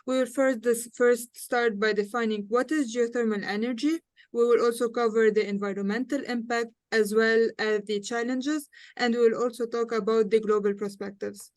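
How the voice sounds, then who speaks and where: Higher-pitched Lebanese woman moderating. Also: steady tone, moderate pace, no echo. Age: young adult. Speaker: panel moderator and student engineer. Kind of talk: hosting a panel discussion.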